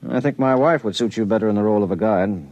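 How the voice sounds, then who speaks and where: A man's voice: lowish voice, quick pace, a little mellow, no echo, natural voice, low-quality recording. A man playing a wry, worried everyman. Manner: plainspoken, wry, anxious. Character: worried ordinary married man, skeptical questioner. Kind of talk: 1950s radio drama acting.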